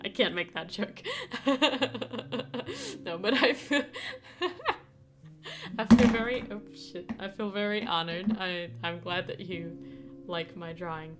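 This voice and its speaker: A woman's voice: mid-range voice, animated, slow. A young woman, a native Danish speaker, chatting casually with viewers on a live stream, giggling often and restarting her sentences. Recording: streamed with guitar music behind. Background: guitar music.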